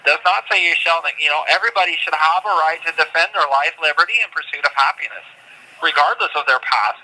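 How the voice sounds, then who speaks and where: A man talking earnestly and haltingly from prison over a noisy phone line, repeating words as he makes his case. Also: on the high side, bright, forceful tone. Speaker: prisoner being interviewed by phone. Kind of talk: earnest, unscripted phone interview talk. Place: prison, on a telephone call. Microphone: telephone.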